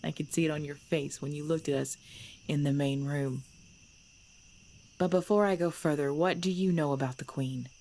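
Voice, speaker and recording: A woman playing a centuries-old female vampire clan leader in an ASMR audio drama, composed and commanding, speaking in measured, formal phrases. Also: low voice, fairly even intonation, mellow. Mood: calm, assured. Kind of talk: ASMR audio roleplay acting. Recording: background noise with chirping crickets.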